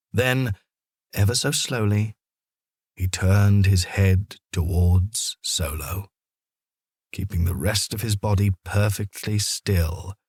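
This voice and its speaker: A man narrating a children's story from a script in a gentle, expressive storytelling manner. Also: lowish voice, breathy edge, dry recording, studio quality.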